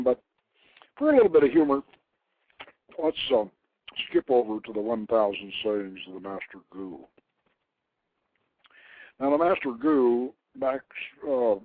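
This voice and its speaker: An older man hosting a radio show, reading aloud and commenting informally in a deliberate storyteller's manner. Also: medium pitch, no room sound. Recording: narrow phone-like line, dry.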